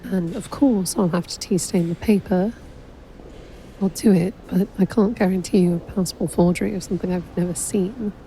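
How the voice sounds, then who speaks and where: A woman acting in an audio drama as an exacting, frank royal calligrapher with a measured, dry manner. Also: lowish voice, fairly even intonation, dark tone, slight background noise, close to an everyday voice, poor audio. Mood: resigned. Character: exacting, wry female royal calligrapher, deferential but frank.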